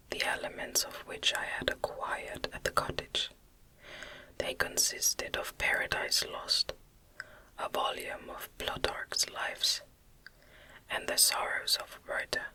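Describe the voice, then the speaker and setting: A woman reading a gothic novel as ASMR, in a gentle, breathy, unvoiced whisper with a measured delivery. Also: low-quality recording. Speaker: audiobook narrator.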